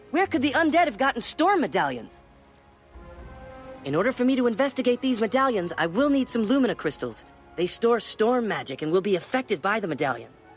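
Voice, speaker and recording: A bookish young wizard student in a fantasy game, speaking very high and with lively swings of pitch. He sounds eager and slightly smug, over light music. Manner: animated, bookish, expressive. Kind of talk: video game character acting.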